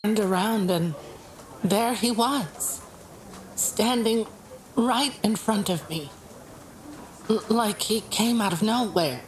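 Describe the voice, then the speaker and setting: An actress playing a hesitant, puzzled mugging victim giving testimony in an audio drama, halting and uncertain. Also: female voice, medium pitch, a little hiss or hum, close to an everyday voice, low-quality recording. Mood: shaken, halting.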